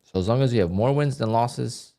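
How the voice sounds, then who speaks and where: A man doing live commentary on his trading session, talking to viewers in a flat, dry way that sounds a bit annoyed even when he is content. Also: medium pitch, brisk, creaky. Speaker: day trader livestreamer. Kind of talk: live stream commentary, reading donations.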